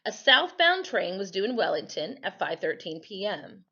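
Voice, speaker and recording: A woman reading a history story aloud as a storyteller, in an expressive, teacherly way. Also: mid-range voice, normal speed, slightly rough, highs cut off.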